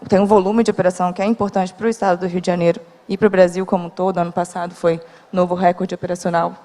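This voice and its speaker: A woman with a lowish, flat voice presents a port operation on a conference panel. She speaks formally but pauses with frequent fillers. Her voice rings out with a long hall reverb. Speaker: port company representative.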